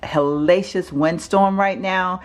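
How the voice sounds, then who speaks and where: Raspy low-voiced woman rambling in a kitchen. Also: takes it slowly. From Texas. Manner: rambling, chatty, many fillers and self-corrections. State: raspy from allergies. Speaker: home organizing vlogger. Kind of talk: casual chatty home vlog. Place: home kitchen, indoors. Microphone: handheld camera mic, filming off screen.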